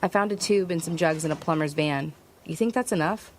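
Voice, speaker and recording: A woman's voice: low voice, brisk, a little hiss or hum, close to an everyday voice. An actress playing a resourceful, stubborn woman survivor in an audio drama, narrating and speaking in a terse, matter-of-fact way. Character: resourceful, stubborn female survivor traveling west. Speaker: lead actor and first-person narrator. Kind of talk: audio drama acting with first-person narration.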